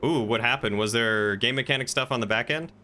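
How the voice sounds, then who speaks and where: A man's voice: mid-range voice, fairly quick, pushed and bright. A man streaming a video game, chatting casually and musing aloud to himself as he plays. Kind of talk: casual livestream chat while gaming.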